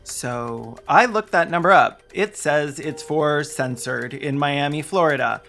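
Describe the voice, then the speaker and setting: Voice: medium pitch, a little slow, gravelly, fairly bright tone, faint music behind. A sarcastic, indignant video essayist who swears while delivering scripted investigative narration.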